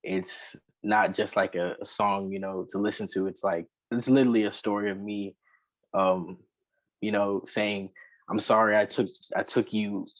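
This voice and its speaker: A man, a rapper and singer, talking casually in an interview, relaxed and rambling with many fillers. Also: lowish voice, normal speed, poor audio.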